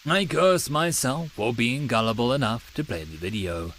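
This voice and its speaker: A man narrating a visual novel as a first-person audiobook, expressive and given to informal asides. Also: medium pitch, moderate pace, a little hiss or hum.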